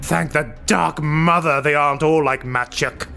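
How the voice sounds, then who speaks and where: A man voicing a proud, bitter dark elf warrior in a fantasy audiobook, tense and sardonic in manner. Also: fairly high pitch, steady tone, a little slow, gravelly, over music, voice pushed up. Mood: wry, wild. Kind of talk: fantasy audiobook character acting.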